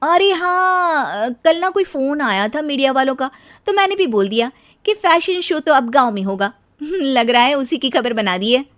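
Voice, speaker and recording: An eager young city-bred wife, acted by a woman in a cartoon story. Her voice is high and expressive, full of determination as a budding fashion designer. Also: moderate pace. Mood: curious, chatty. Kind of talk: animated cartoon character voice.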